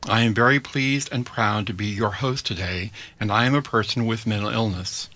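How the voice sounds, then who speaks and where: A man hosting a community radio program, reading a measured, prepared opening and then interviewing a guest. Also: lowish voice, bright, forceful tone. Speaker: radio host. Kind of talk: scripted intro and interview. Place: radio studio.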